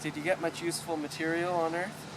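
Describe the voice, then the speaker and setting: A man acting a bewildered, exasperated everyman Earthling in a radio comedy play, fussy, querulous and halting. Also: on the high side, flat delivery, natural voice. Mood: eager, uneasy. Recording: clear background noise.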